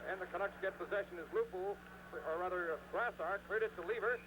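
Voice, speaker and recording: High-pitched man calling hockey on radio. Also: fast talker. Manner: excitable, rising on scoring chances. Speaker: play-by-play hockey commentator. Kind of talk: live radio sports commentary. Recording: noisy vintage 1970s radio broadcast.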